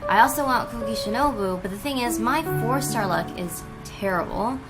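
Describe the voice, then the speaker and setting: A woman's voice: a little high, moderate pace. A woman giving casual, chatty commentary as the creator of a reaction video. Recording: music behind the voice. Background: clear music.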